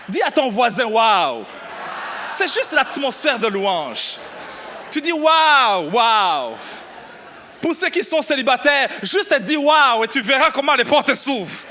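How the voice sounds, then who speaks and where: A middle-aged pastor from Burundi preaching a lively sermon, exclaiming and shouting at the peaks. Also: male voice, very high voice, a little hiss or hum. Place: church.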